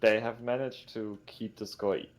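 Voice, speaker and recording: A man's voice: lowish voice, steady tone, normal speed. A man chatting casually as co-commentator on a live video game match. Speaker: esports co-commentator.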